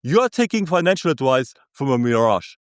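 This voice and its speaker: A man's voice: medium pitch, sing-song, a little fast, dry recording, clean studio sound. A man with a German accent delivering scripted commentary in a scornful, ranting, sarcastic manner.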